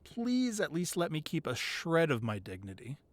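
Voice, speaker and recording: A man playing a tough gang leader boyfriend who is teasing and tender in an intimate ASMR roleplay, with playful grumbling and soft, hesitant asides. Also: a little high, wildly swooping pitch, normal speed, no echo. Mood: mock grumbling. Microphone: close microphone, dry.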